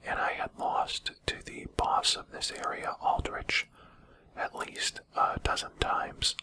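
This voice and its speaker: A man speaking in a breathy whisper, giving quiet, explanatory commentary on a video game as a channel host. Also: rough recording.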